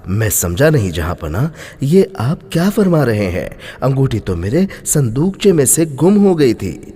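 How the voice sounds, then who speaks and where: A humble, devout baker from a moral tale, played by a male storyteller. He is earnest and respectful, his husky voice moving widely in pitch. The sound carries a long hall echo. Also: sing-song, a little slow, dark tone. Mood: puzzled, respectful.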